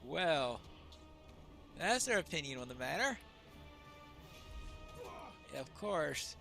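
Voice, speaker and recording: A man's voice: on the high side, big pitch swings, slow pace, gravelly, over music. A man giving casual commentary as he plays a game, musing mildly to himself. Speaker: gamer narrating a playthrough.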